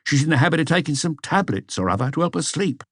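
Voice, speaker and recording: A man's voice: on the high side, expressive, fast talker, slightly rough, no echo, studio quality, pitched above the performer's own voice. A man voicing a hesitant, deferential police inspector giving a report in an audiobook. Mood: uneasy, puzzled. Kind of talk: audiobook character dialogue.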